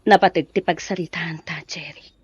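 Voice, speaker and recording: A woman's voice: lowish voice, steady tone, normal speed, husky, muffled recording. An actress with an Ilocano accent playing a hurt, suspicious wife confronting her husband in an audio drama.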